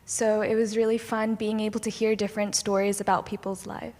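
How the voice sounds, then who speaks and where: A woman's voice: mid-range voice, level tone, fairly quick, a little mellow. A teenage girl from Hong Kong introducing herself fluently and confidently as a student panelist. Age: teens. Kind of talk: prepared self-introduction. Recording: echoey hall.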